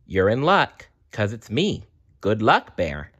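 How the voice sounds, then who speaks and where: A man's voice: fairly low pitch, huge pitch swings, slow, fairly bright tone, husky, dull audio, heavily acted. A man voicing cheerful, caring cuddly teddy bears from a children's storybook in a friendly, encouraging manner.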